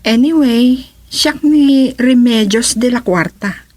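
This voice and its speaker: A female actor plays a composed and formal lady with an Ilocano accent. Her voice is a bit higher than average. She performs in a radio comedy drama, recorded close and dry. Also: slow pace, darkish tone, dry recording. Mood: businesslike. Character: visiting lady, composed, formal and self-assured.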